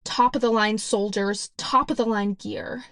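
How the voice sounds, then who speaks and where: A woman's voice: on the high side, steady tone, unhurried, fairly bright tone, dry recording. A woman voicing a cocky young superheroine in an audiobook, brash and taunting as she interrogates. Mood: probing. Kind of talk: audiobook character acting.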